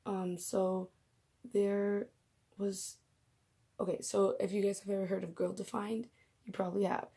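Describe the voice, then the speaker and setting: Young woman vlogger, raspy and husky from a coming cold, mellow dark tone, casual rambling talk. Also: medium pitch, level tone, measured pace, long pauses. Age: young adult. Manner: chatty, rambling, laughs at herself. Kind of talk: casual faith vlog. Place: bedroom.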